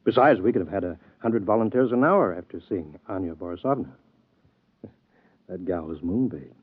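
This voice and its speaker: An actor playing a businesslike space program chief with dry humor, in a 1950s radio drama. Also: male voice, low voice, expressive, moderate pace, slightly rough, dry recording, phone-quality sound. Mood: brisk. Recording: dry telephone-thin radio broadcast.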